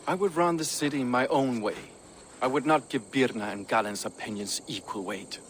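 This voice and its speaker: An actor playing a proud, ambitious but loyal Viking shipbuilder, speaking in a measured, earnest way for a video game. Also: male voice, mid-range voice, slight background noise, lightly acted. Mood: frank, proud. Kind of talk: dramatic video game cutscene dialogue.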